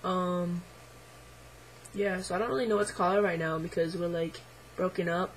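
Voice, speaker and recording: A young man talking hesitantly to camera in a casual video diary, his voice midway through deepening and a little unsettled, with many ums and likes. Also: fairly even intonation, normal speed, slight background noise, poor audio. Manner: hesitant, lots of ums and likes, quiet and reflective. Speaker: vlogger.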